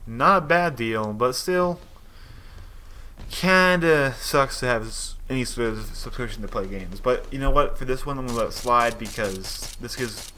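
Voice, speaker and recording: A man's voice: mid-range voice, moderate pace, bright, forceful tone, slight background noise. A young man giving casual, opinionated gaming commentary, rambling and restarting his sentences as he thinks aloud.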